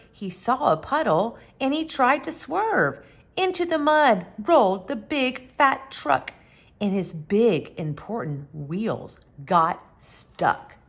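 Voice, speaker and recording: A woman hosting a children's story time, reading a picture book aloud expressively, with emphatic, drawn-out words. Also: on the high side, husky.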